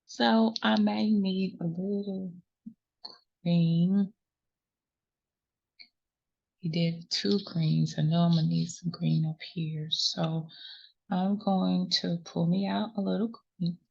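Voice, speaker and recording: A woman's voice: fairly low pitch, steady tone, slow, gravelly, pauses often, dark tone, highs cut off. A woman hosting a crochet tutorial, talking patiently and reassuringly, counting stitches aloud, a little sniffly from a runny nose. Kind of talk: step-by-step crafting instruction.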